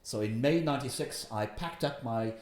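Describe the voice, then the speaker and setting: A man's voice: medium pitch, moderate pace. Welsh man in his fifties, echoey room. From Wales. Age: 50s. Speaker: investment guest. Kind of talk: storytelling in an interview.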